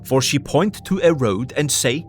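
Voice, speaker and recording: An actor playing an elderly, earnest, grave foreign professor who narrates his diary in deliberate broken English for an audio drama. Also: mid-range voice, animated, faint music behind, lightly acted. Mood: earnest, wondering.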